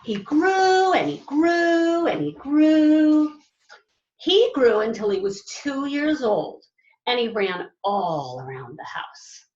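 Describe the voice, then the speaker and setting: Slow, high-voiced woman reading a storybook. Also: roomy sound, muffled recording. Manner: animated, drawn-out repetition with dramatic emphasis and asides to listeners. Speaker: elementary school teacher reading aloud to pupils. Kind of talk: expressive storybook read-aloud for children.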